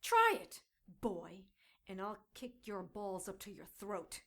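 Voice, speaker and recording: A woman's voice: medium pitch, big pitch swings, takes it slowly, a bit bright, heavily acted. An actress playing a brash, defiant captive witch in an audio drama, sassy and emphatic. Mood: furious, defiant. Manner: emphatic, hot-headed, sassy.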